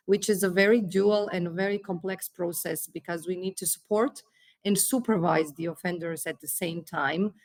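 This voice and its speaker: A woman from Croatia with a Croatian accent, a probation trainer answering interview questions in careful English, pausing often with fillers and restarts. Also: medium pitch, dry recording. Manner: hesitant, many fillers and restarts.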